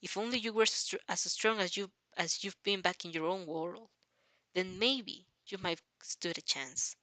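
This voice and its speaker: A woman reading a dramatic fan fiction story aloud as narrator, halting now and then over the text. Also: on the low side, pushed and bright, dry recording. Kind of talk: dramatic audio story narration in second person.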